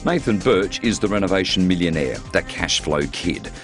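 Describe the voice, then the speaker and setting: A man's voice: deep voice, expressive, moderate pace. A man with an Australian accent reading scripted narration as a television news reporter. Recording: loud music under narration.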